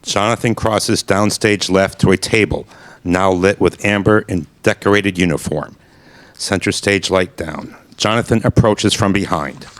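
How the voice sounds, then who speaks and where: A man reading out the stage directions of a radio play in a flat, matter-of-fact way. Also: sits low, unhurried.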